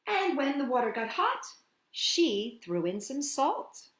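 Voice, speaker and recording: A woman hosting a children's storytime, reading and singing in a playful, expressive way with drawn-out words and chatty asides. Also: high-pitched, roomy sound. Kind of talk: animated picture-book read-aloud and children's singing.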